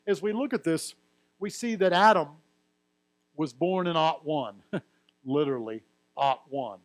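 A man with a somewhat high-pitched voice gives a teaching sermon. He reads long lists of names and ages from scripture, then explains them to the congregation in a plain, friendly way with occasional light humor. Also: poor audio. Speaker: pastor.

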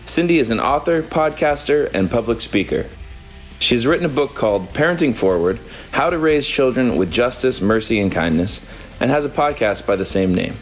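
A man hosting a podcast, reading a scripted introduction and then asking questions in a relaxed, friendly way. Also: medium pitch, normal speed, soft background music. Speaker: podcast host.

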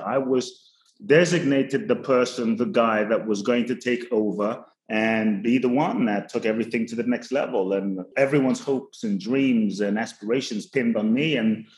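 A man's voice: medium pitch, moderate pace. Earnest guy from ghana raised in london, podcast guest, roomy echoey sound. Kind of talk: reflective storytelling. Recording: roomy echo.

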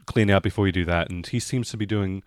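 A man's voice: low-pitched, quick pace, dry recording, studio quality. A man narrating an improvised tabletop roleplaying game as the game master, in a conversational way.

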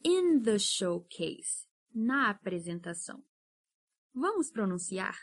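Woman teacher, encouraging, slow drills, lively pitch. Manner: patient, encouraging, drills words slowly. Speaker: English teacher. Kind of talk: podcast pronunciation lesson.

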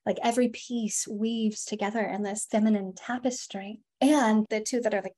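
A woman in her thirties speaking as a podcast guest, in a soft, flowing way with many fillers and drawn-out words. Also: fairly high pitch. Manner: soft, emphatic, lots of "like" and "you know", drawn-out words. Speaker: podcast guest, feminine embodiment coach. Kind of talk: flowing spiritual podcast talk.

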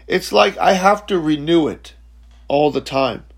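A man in his forties giving a slow, reflective spiritual teaching talk, halting and repeating words, often checking in with "okay?". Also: fairly high pitch, gravelly, slightly rough. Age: 40s. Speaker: spiritual speaker.

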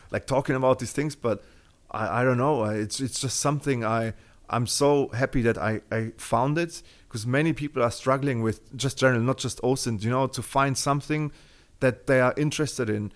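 A man's voice: medium pitch, normal speed. A man from Germany with a German accent, speaking as a podcast guest in a casual interview, rambling with many fillers and restarts.

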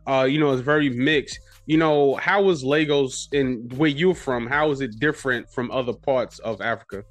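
A man's voice: medium pitch, normal speed, no room sound. A man hosting a podcast, talking in a hyped, slangy, repetitive way in casual interview chat. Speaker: podcast host. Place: New York City radio studio.

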